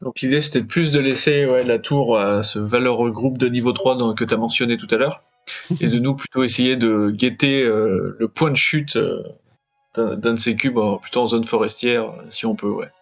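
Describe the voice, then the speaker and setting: Male player, gravelly voice, lo-fi mic, faint music. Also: medium pitch, steady tone, normal speed. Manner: self-deprecating. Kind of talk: casual game chat.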